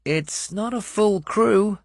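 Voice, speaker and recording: Male narrator as wry warrior sidekick, raised pitch, anxious edge, audiobook adventure dialogue, dry recording. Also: takes it slowly, vocal fry, no echo, exaggerated voice. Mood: concerned.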